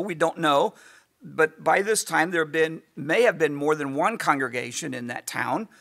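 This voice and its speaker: A man teaching a Bible lesson in an easygoing lecture style, losing his voice and often clearing his throat. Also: fairly high pitch, pushed and bright. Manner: conversational, thinking aloud with asides. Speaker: pastor teaching a Bible class.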